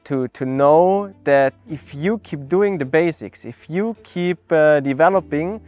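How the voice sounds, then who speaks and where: A young man from Austria, giving a network marketing testimonial in an earnest, reflective way, pausing often with fillers and restarts. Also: fairly high pitch, a little mellow, lo-fi sound, soft background music. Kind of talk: promotional testimonial interview.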